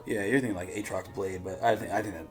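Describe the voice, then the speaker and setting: Male reaction host, deep voice, loose informal commentary with clearly audible music behind. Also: fairly even intonation, quick pace. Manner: informal, sweary. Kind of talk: casual reaction chat.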